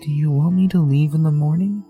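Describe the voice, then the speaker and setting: A man voicing a scared, timid young female alicorn filly with a soft, shaky, fragile voice. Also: fairly high pitch, steady tone, a little fast, narrow, tinny audio, soft background music, voice pushed up, natural voice.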